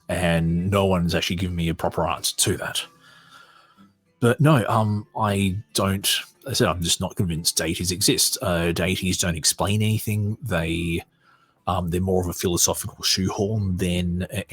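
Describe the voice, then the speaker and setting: An Australian man with a deep, slightly rough voice hosts a podcast. He moves between reading aloud and conversational, unscripted commentary. His tone sits low and husky. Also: fairly even intonation, normal speed, dry recording, faint music behind. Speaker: podcast host.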